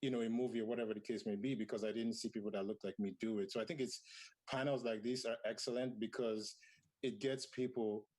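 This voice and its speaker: A man in his thirties who grew up in Nigeria, speaking on a virtual panel. His voice sits on the low side, and he talks in a reflective way with plenty of "um"s and restarts. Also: steady tone, fast talker, darkish tone, poor audio. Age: 30s. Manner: thoughtful, with many fillers and restarts. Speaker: panelist, comic creator.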